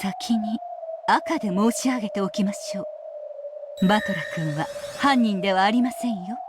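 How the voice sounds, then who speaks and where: A woman's voice: mid-range voice, fast talker, background noise, natural voice. An actress playing a composed, courteous, knowing witch in a visual novel, with polite and formal delivery. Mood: firm, composed. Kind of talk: Japanese visual novel voice acting.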